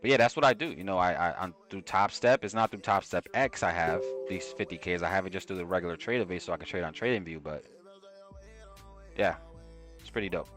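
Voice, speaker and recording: A young man with a New York accent hosts a live trading stream, talking loosely and full of slang. His voice sits fairly low, and faint music plays behind him. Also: a little fast, fairly bright tone. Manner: slangy and conversational, often tags "right?". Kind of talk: casual live-stream chat with viewers.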